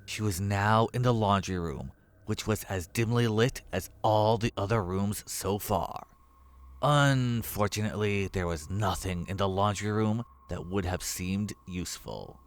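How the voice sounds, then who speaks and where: Deep-voiced man narrating a horror story. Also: a little slow.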